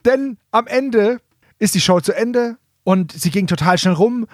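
A man's voice: high-pitched, lively intonation, a little slow, dry recording, clean studio sound. A man co-hosting a casual comedy podcast, emphatic and mock-indignant, repeating himself for effect. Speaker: podcast co-host.

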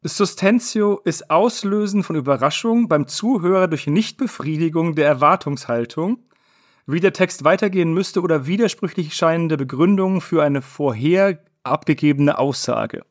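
Ein Mann, der als Quizleiter in einem lockeren Podcast Begriffe vorliest und erklärt. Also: male voice, on the high side, lively intonation, moderate pace. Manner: reads definitions aloud, explains. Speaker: quiz host. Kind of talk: casual podcast quiz.

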